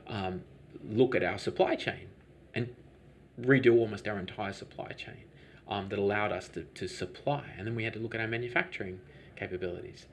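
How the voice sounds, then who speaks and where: Hesitant low-voiced man telling a story. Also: brisk, slight background noise. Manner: rambling, hesitant with many restarts and fillers. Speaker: lavender farm business owner. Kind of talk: conversational interview answers.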